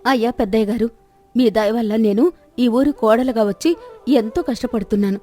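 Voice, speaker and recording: A woman voicing a village wife who scolds and argues quickly in a fairly high voice. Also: warm tone, faint music behind, natural voice. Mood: scolding. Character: sharp-tongued village wife, defending her cooking.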